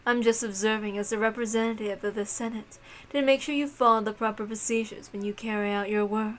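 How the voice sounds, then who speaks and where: A woman's voice: mid-range voice, steady tone, fairly quick, close to an everyday voice. A woman voicing a cruel, mocking aristocratic witch lady with theatrical, taunting delivery in a visual novel read-aloud. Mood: cruelly amused.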